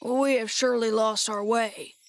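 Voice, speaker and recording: Woman doing an eager, high-pitched scarecrow. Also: fairly even intonation, moderate pace, vocal fry, dry recording. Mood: grumbling. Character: male living scarecrow, eager, grumbling, simple-hearted.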